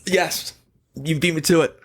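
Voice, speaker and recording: A male podcast host with a somewhat higher-pitched voice. He talks casually and offers opinions with animated emphasis, as if chatting with a friend on his show. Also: a little slow.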